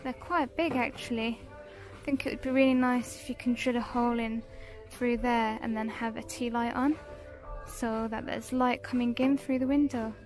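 A woman's voice: on the high side, steady tone, moderate pace, faint music behind. A British woman narrating a casual shopping vlog, listing items in short descriptive phrases. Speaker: shopping vlogger. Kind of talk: casual shop-with-me product showcase narration.

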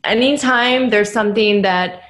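Relaxed young woman hosting a podcast. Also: medium pitch, a little slow. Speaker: podcast host. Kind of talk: casual chat. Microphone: studio podcast mic.

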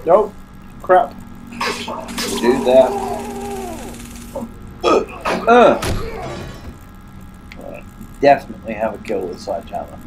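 A man's voice: lowish voice, wildly swooping pitch, slow pace, long pauses, background noise. An English man commentating a horror game, muttering and grumbling to himself, with a stuffy nose and hiccups. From England. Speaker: gaming YouTuber. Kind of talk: casual let's play commentary.

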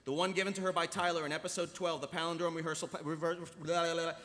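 A male narrator reads script directions at a rapid clip. His voice is high and forceful, carried over a reverberant hall PA. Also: level tone, slight background noise. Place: large convention hall panel stage. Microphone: podium microphone. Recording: echoey convention hall PA.

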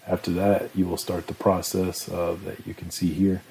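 Deep gravelly man explaining woodworking steps. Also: moderate pace, slight background noise. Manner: steady step-by-step explaining with "uh" fillers. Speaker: DIY channel host. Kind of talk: instructional voice-over.

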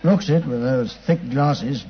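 A man's voice: medium pitch, unhurried, natural voice. A man with a British accent playing a practical, puzzled police detective in a 1950s radio drama, plain-spoken and matter-of-fact. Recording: muffled, noisy 1950s radio recording.

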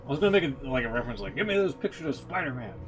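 A man's voice: medium pitch, very animated, very fast. A man giving casual, off-the-cuff commentary on a game live stream. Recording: music behind the voice. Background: music.

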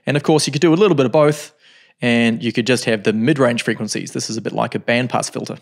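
A man in his thirties teaching music software in a home studio, explaining calmly with frequent ums. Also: mid-range voice, fast, creaky. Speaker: music production tutor. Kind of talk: screen-recorded software tutorial.

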